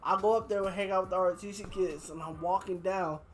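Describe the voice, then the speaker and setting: A young man chatting casually as a podcast host, telling anecdotes in a slangy, animated way. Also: high-pitched, fairly quick, slight background noise. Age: young adult. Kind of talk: casual chat, storytelling.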